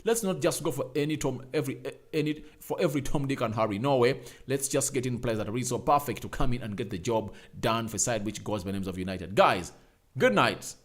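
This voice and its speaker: A young man hosting a casual fan live stream, reading out viewer names and comments, repeating himself and pushing up to loud calls for likes. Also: medium pitch, expressive, brisk. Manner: emphatic, rising to loud calls. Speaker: fan channel host.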